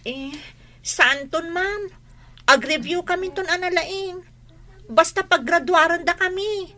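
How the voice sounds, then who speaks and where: Woman acting shrill, bright, pushy teenage student. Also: takes it slowly, exaggerated voice. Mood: worried. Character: pushy, complaining teenage student who skips studying. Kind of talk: radio drama acting.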